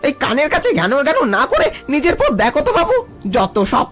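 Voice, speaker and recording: Scornful, greedy village shopkeeper with swooping pitch played by a man. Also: huge pitch swings, fast, fairly bright tone, faint music behind, heavily acted. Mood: scornful, dismissive. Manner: scornful, scheming. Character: greedy, callous village grocer who hoards goods. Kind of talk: animated folk-tale cartoon dialogue.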